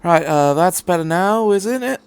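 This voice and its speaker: A man's voice: high voice, lively intonation, unhurried, smooth, no echo, voice pushed up. A man voicing an upbeat, eccentric male traveller who rambles in British slang, in a storybook reading. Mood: brisk, friendly.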